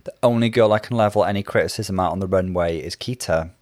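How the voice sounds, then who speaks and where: A man co-hosting a casual review show, measured with wry understatement. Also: low voice, darkish tone, dry recording, studio quality. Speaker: podcast co-host reviewing a drag competition. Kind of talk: casual chat.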